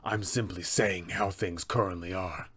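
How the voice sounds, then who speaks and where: A man voicing a cold, calm, menacing undead killer who poses as a trader, in a low, breathy voice with measured delivery, for a tabletop roleplaying villain. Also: full character voice. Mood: evasive, calm. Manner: slow, hushed, measured threats.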